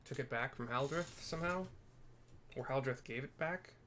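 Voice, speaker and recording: A man's voice: medium pitch, moderate pace, pushed and bright, slight background noise. A man giving casual commentary on a video game playthrough, thinking aloud as he narrates the scenes.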